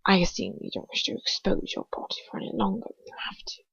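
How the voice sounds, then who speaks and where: A woman voicing a cold, haughty aristocratic man in a hushed, disdainful whisper for a fan-fiction reading. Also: medium pitch, sing-song, fast, vocal fry, slightly rough, no room sound, lo-fi sound, heavily acted.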